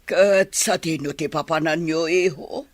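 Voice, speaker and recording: A woman's voice: sits low, unhurried. A worried Ilocano mother. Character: worried mother of a grown son. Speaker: radio drama actor.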